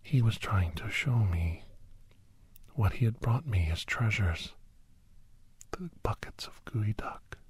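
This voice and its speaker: A man acting as a grave doctor recounts a dark monster tale for ASMR listeners. His voice is a very husky, breathy whisper, close and dry. He moves slowly and deliberately, repeating phrases and leaving pauses. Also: lowish voice, expressive, no echo, exaggerated voice. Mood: hushed, suspenseful. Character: Doctor Andrew Michaels, grave male storyteller recounting a werewolf encounter. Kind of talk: whispered ASMR dramatic first-person storytelling.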